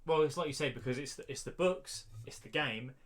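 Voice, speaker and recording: Man co-hosting a podcast, medium pitch. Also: a little fast. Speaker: podcast co-host. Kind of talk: casual opinionated discussion.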